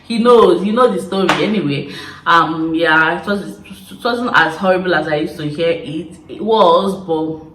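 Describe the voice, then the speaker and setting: A young woman from Nigeria with an Igbo accent, casually telling stories to camera in an expressive, chatty way. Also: lowish voice, measured pace, roomy sound, slight background noise, low-quality recording. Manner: chatty, expressive, laughing storyteller. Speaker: YouTuber. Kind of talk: casual confessional chat to camera.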